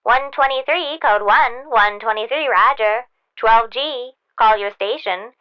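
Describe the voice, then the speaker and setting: A woman playing a brisk police dispatcher giving clipped, official calls through a radio filter in an audio drama. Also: on the high side, clean tone. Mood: official, detached. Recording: muffled, band-limited police radio sound.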